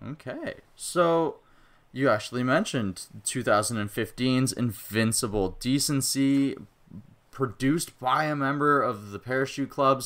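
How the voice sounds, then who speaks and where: A man's voice: mid-range voice, a bit bright. A man interviewing a musician, admiring and effusive, asking long musing questions.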